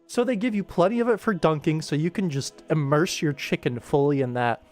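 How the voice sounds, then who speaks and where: A young American man with a fairly high voice chats off the cuff to a livestream. He is emphatic and enthusiastic, wandering into tangents as he goes. Music plays behind him. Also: brisk, a little mellow. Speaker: livestream host. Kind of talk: casual livestream rant.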